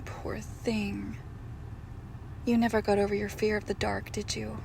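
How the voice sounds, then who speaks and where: A woman plays a tender, possessive girlfriend hiding something monstrous. She speaks very slowly and softly, with plenty of fry in the voice, often sinking toward a whisper. It is an intimate roleplay audio drama with faint noise behind it. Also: medium pitch, flat delivery. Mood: soothing. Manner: slow, hushed, tender, often dropping to a whisper.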